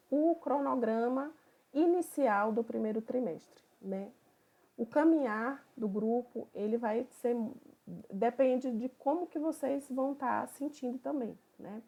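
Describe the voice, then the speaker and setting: A woman from Bahia, a psychoanalyst who opens an online study group in a relaxed, conversational way. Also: on the high side. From Bahia, Brazil. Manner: relaxed, conversational, thinking aloud.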